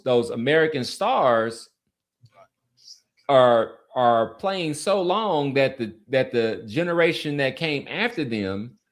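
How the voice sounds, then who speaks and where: A man speaks in a mid-pitched voice as a sports podcast co-host. His delivery is casual and conversational, built around making an argument in a back-and-forth debate. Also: a bit bright.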